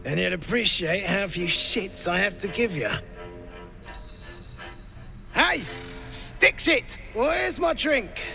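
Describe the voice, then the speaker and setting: A rowdy, cruel dwarf slave-driver from a fantasy game. The voice is sharp and forceful, with a gravelly creak, and drawls slowly through mocking, boozy taunts over faint music. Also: male voice, sits high, expressive, full character voice. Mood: boozy, boisterous. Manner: brash, mocking, coarse slang. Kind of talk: video game character acting.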